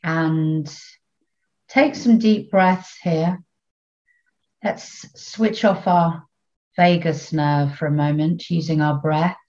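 A woman leading a guided meditation in a soothing, unhurried way, leaving long pauses between short phrases. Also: low-pitched, steady tone, a little mellow, lo-fi sound.

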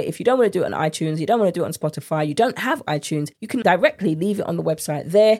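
Female podcast host and coach, mid-pitched, congested and a touch nasal, informal, direct, chatty solo delivery. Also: a little mellow.

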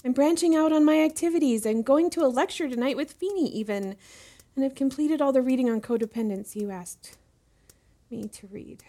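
Anxious, deferential high-voiced protegee. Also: female voice, a little fast, warm tone. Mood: pleading. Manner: hesitant, apologetic, earnest. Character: anxious, deferential female protegee eager to please. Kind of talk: audio drama acting.

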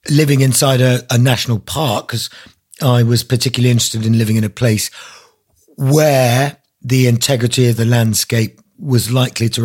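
Middle-aged male author as guest, husky edge, mid-pitched, discursive and descriptive in conversation. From Isle of Man. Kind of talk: conversational interview answers. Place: study at home, indoors. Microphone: video call.

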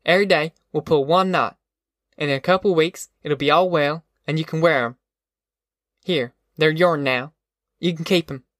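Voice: medium pitch, moderate pace, fairly bright tone, no room sound, natural voice. Country mother for a storybook, read by a narrator: firm, reassuring, folksy rural speech, dry sound. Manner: folksy rural dialect, matter-of-fact.

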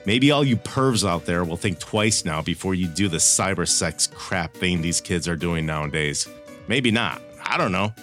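A man's voice: sits low, animated, normal speed, fairly bright tone. A man hosting a podcast in casual chat, with a wry, blunt manner. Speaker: podcast host. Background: background music.

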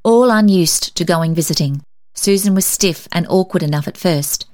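A woman reading a story aloud as an expressive scripted narration. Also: lowish voice, moderate pace. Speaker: story reader.

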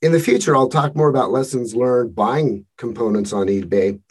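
A man hosting a podcast, reading a measured scripted introduction and then asking his guest questions. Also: medium pitch, slightly rough. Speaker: podcast host.

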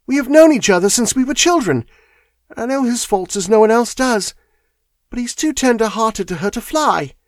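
A woman's voice: a little high, lively intonation, moderate pace, dry recording, studio quality, pitched above the performer's own voice, natural voice. A man voicing an earnest young woman who pleads insistently and with fierce loyalty. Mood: pleading.

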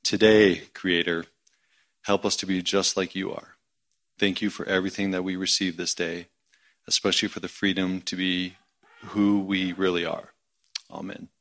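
A man reciting a spoken prayer as its leader, solemn and measured, delivering it phrase by phrase. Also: low-pitched, little pitch movement, fairly bright tone.

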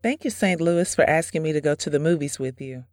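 A woman's voice: deep voice, lively intonation, fairly quick, no echo, faint music behind. A woman playing a sweet, polite young woman being courted in an audio drama. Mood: tender. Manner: gentle, polite.

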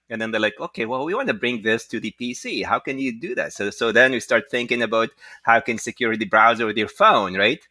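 A man speaking as a guest entrepreneur, telling his story conversationally with frequent halting asides. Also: fairly low pitch, sharp tone, dry recording. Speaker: guest, tech entrepreneur and inventor.

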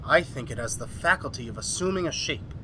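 A man's voice: medium pitch, lively intonation, fairly quick, bright, forceful tone, slight background noise. A man playing an earnest, agitated scientist with a dramatic, exclamatory delivery in an old-time horror radio play. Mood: grave, urgent. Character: earnest, agitated male scientist doctor, grimly resolute. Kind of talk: 1930s-style horror radio drama acting.

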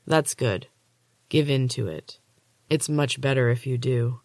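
Woman voicing a huge, menacing, low-voiced male orderly. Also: a little slow, darkish tone, no echo. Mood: soothing menace. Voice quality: low, gravelly. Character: enormous menacing male orderly, calm and controlling.

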